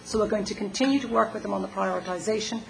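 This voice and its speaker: A woman presenting planning work at a public meeting, measured and hesitant with many fillers. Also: mid-range voice, steady tone, creaky, slight background noise, rough recording.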